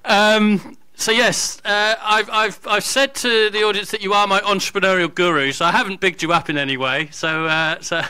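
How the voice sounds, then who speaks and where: A man's voice: sits high, fairly even intonation, moderate pace, hardly any pauses, sharp tone, smooth. A man hosting an event, introducing a guest in a hesitant, casual way with repeated words. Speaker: event host.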